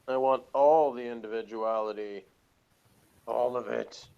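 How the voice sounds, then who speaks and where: A man's voice: medium pitch, steady tone, a little slow, gravelly, clear, resonant tone, dull audio. A man chatting casually as a guest on an online call, with dry, teasing banter. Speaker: guest co-host. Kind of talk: casual chat. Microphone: online video call.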